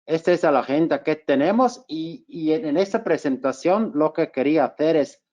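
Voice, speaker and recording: A man's voice: fairly high pitch, expressive, normal speed, darkish tone. A man from Switzerland with a Swiss German accent, a native German speaker presenting a technical webinar in a second language, speaking carefully and often restarting phrases. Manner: careful, with frequent restarts and fillers. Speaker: market manager presenting a webinar. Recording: muffled lo-fi webinar audio.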